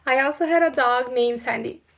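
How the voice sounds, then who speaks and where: A woman's voice: high voice, moderate pace, creaky, fairly bright tone. An eighteen-year-old Italian woman with an Italian accent, talking earnestly about her exchange year with hesitant fillers. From Italy. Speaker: former exchange student. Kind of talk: casual vlog chat.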